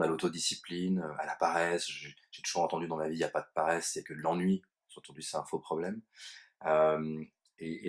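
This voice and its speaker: A man interviewing a guest, asking informal questions in a halting way with frequent restarts. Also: deep voice.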